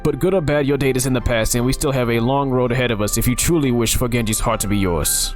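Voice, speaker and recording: A man's voice: mid-range voice, quick pace, faint music behind, close to an everyday voice. A man voicing a cheery, pushy matchmaking spirit of love who hands out advice in a game. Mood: coaxing.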